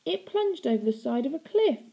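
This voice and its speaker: Wicked storybook aunt female reader: cruel, gloating, patronising, theatrical, with creaky edges. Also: high voice, very animated, brisk, warm tone, highs cut off, voice pushed up, heavily acted. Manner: mocking baby talk, theatrical. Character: gloating villainous aunt, mocking, cruel and sickly-sweet.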